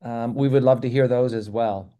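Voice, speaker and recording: A man hosting a webinar, speaking in an appreciative, measured way as he thanks people and takes questions. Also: medium pitch, steady tone, warm tone. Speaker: webinar host. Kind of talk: webinar presentation and Q&A.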